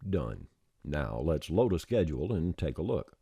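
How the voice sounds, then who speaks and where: A man presenting a software tutorial as a step-by-step screencast voice-over, plain-spoken and informal, on a close mic. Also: very deep voice, sing-song, normal speed, slightly rough, dry recording.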